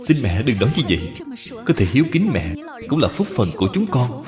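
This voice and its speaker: A man voicing a gentle, dutiful young daughter-in-law in a cartoon, respectful and caring. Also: medium pitch, sing-song, a little fast, music in the background, close to an everyday voice. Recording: long hall reverb.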